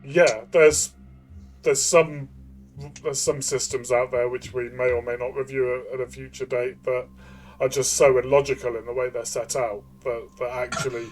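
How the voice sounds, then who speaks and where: A man's voice: mid-range voice, level tone, normal speed, soft background music. A man co-hosting a casual review discussion, thinking aloud and rephrasing himself as he goes. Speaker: podcast co-host and game reviewer.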